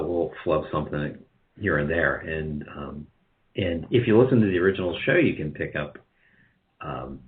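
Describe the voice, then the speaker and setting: Male interview guest, very low voice, casual and halting, heard over dull narrow-band call audio. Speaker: guest, radio drama producer.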